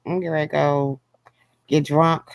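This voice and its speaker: A woman's voice: low voice, flat delivery, normal speed, no room sound, low-quality recording. A woman hosting a casual live stream, joking and slangy with her guests. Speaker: livestream host.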